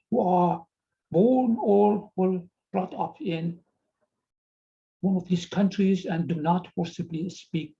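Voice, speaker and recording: Slow, halting older man with Persian accent. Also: sits high, mellow. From Iran. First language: Farsi. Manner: slow, halting and earnest, with frequent pauses and repeated words. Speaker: TV presenter. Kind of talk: personal address to viewers. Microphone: video call. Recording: slightly dull video call audio.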